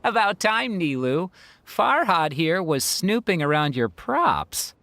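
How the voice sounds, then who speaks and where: A woman voicing a playful, cheerful theater friend in a video game, talking in a bubbly, animated way. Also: fairly low pitch, slow, exaggerated voice. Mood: teasing. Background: game music.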